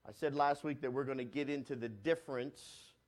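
A man pastor preaches at an even middle pitch. His delivery surges loud and high on key points, then sinks into quiet, low asides. He restarts and repeats phrases as he goes. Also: a little fast. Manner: swells louder on key points and drops low and soft on asides. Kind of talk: sermon.

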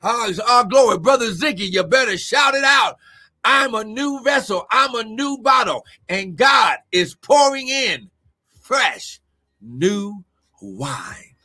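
A male preacher delivering a fervent sermon, shouting often and calling out to members of his congregation. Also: high-pitched, big pitch swings, takes it slowly. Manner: shouting, exclamatory, calls out congregation members by name. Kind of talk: Pentecostal-style sermon.

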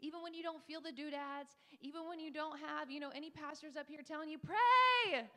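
A woman's voice: high-pitched, a little fast, sharp tone. A young woman in her twenties giving a fervent preaching testimony on a church panel. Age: 20s. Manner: fervent, breathy preaching, mimics tongues. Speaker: panel speaker.

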